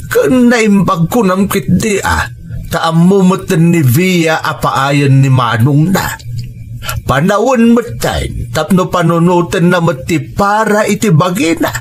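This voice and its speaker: A man playing an indignant, protective father of grown children in a family drama. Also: a little high.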